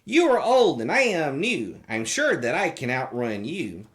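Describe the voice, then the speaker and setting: Cocky little gingerbread man for a storybook, voiced by the reader, taunting, sing-song, very animated. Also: mid-range voice, a little slow, voice pushed down. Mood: boastful, taunting. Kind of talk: storybook character voice.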